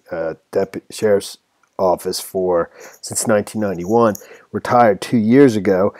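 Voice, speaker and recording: A man giving informal commentary on a news story, part reading and part ad-libbing, halting and rambling as he stumbles over words. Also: on the low side, darkish tone, dry recording.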